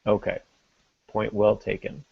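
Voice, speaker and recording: A man's voice: on the low side, completely flat delivery, measured pace, vocal fry, narrow, tinny audio, crowd noise. A man hosting a podcast, explaining casually and thinking aloud, with some joking along the way. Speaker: podcast host.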